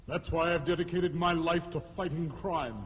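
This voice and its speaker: A man's voice: a little high, fairly even intonation, moderate pace, close to an everyday voice. A man playing a grim, commanding vigilante hero and fatherly mentor in a comic book drama, solemn and authoritative. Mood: solemn, stern. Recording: long reverb, muffled, music underneath.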